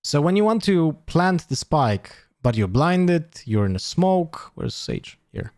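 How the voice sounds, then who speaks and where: A man's voice: fairly high pitch, takes it slowly, dry recording. This is a man going over game footage, talking things through as they occur to him. His voice rises and falls a great deal and he drops swear words casually. The sound is close and dry, with no room around it. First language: Polish. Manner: animated, swears freely, thinks aloud. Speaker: esports analyst streamer. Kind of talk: casual VOD breakdown.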